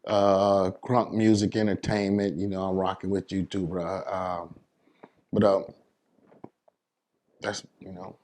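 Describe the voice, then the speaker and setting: A man from New York City with a fairly low voice, talking as a DJ being interviewed. His speech is casual and slangy, and he lays out advice with emphasis. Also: flat delivery, takes it slowly, long pauses, a little mellow. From New York City, United States.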